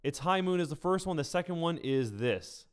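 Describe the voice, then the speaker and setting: A man's voice: fairly high pitch, expressive, moderate pace, clean tone. A man giving casual livestream gaming commentary, speaking conversationally and directly to his viewers. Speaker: streamer and gaming news host.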